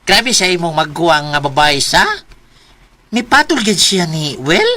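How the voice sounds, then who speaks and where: An actress playing a sympathetic, gossipy friend in a conversational radio drama scene. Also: female voice, deep voice, expressive, a little slow, bright, forceful tone, full character voice. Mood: concerned, indignant. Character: sympathetic, gossipy female friend, indignant on her behalf.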